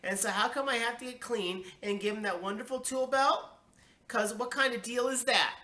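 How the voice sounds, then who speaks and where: A woman's voice: on the high side, steady tone, normal speed, bright, forceful tone, reverberant, voice pushed up. A woman voicing a stubborn, indignant little girl from a storybook, pouting and snappy with childish grammar. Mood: whiny, indignant.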